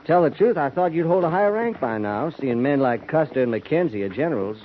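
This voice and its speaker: A man's voice: a little high, expressive, fairly quick, lightly acted. An actor playing a falsely humble cavalry trooper who needles his captain in a folksy drawl, in 1950s radio drama style. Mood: ingratiating. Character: wheedling, needling old army acquaintance. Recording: muffled 1950s radio broadcast, faint hiss.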